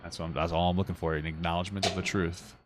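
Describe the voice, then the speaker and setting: A young man co-hosting a casual couple podcast at home, rambling and profane, often dropping into mocking high-pitched voices. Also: flat delivery, rapid-fire, background noise. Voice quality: smooth voice. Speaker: podcast co-host. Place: home room with a fan.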